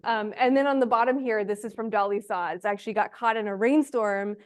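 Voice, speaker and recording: A woman's voice: medium pitch, a little fast. A young woman from Honduras and Texas giving an informal, explanatory conference presentation. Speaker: speaker, ecological restoration student and forest project lead.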